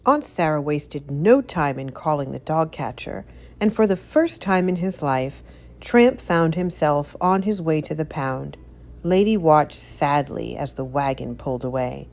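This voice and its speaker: A woman narrating a children's story as an expressive read-aloud. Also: low voice, unhurried.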